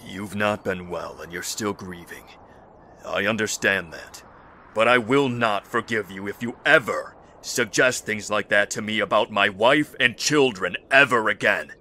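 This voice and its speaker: A man playing a stoic, married leader in a zombie fan drama, speaking in a measured, formal, controlled way. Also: lowish voice, sharp tone, slight background noise. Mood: stern warning. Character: stoic married leader of survivors, restrained and firm. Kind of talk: dramatic audio visual-novel fan drama.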